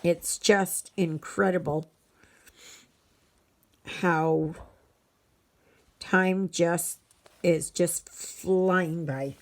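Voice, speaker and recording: Woman in her sixties, low voice, gravelly fry, reflective casual chat while stitching, soft murmurs to herself. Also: fairly even intonation. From Canada. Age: 60s. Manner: slow, reflective, rambling with many fillers. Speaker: stitching channel host.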